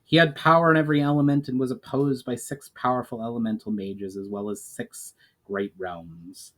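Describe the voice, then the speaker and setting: A man's voice: mid-range voice, fairly even intonation, normal speed, fairly bright tone, close to an everyday voice. A man voicing a grave, knowledgeable elf mage on a quest, speaking formally and earnestly in a storybook reading.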